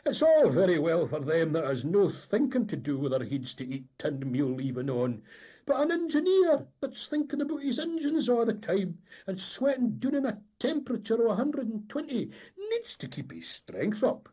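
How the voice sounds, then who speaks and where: A man's voice: deep voice, expressive, normal speed, few pauses, a little mellow, breathy edge. A man voicing an emphatic, grumbling ship's engineer. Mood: indignant. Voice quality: emphatic, contemptuous. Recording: dull lo-fi recording.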